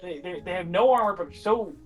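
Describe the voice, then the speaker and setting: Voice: mid-range voice, lively intonation, faint music behind. Young gamer reacting on voice chat. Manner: quick, swearing reactions to the game. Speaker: gaming friend. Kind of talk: casual chat while gaming.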